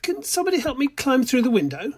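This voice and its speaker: A man playing a pushy, hungry train passenger in an amateur radio drama, with a husky voice. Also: very high-pitched, huge pitch swings, quick pace, full character voice. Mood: wheedling. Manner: wheedling, then confrontational. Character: pushy hungry male passenger from the next carriage.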